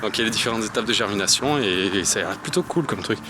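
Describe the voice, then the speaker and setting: A man's voice: lowish voice, animated, racing. A young man from France chatting casually while walking through the city, with lots of fillers and slang. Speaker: vlogger. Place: city streets outdoors, walking. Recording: loud traffic noise outdoors.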